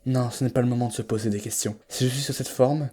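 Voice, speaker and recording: A man playing a frightened, bitter, proud young male creature in a fan comic dub, speaking in a dramatic, halting, stammering way. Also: mid-range voice, fairly even intonation, mellow, slight background noise, lightly acted. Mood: puzzled.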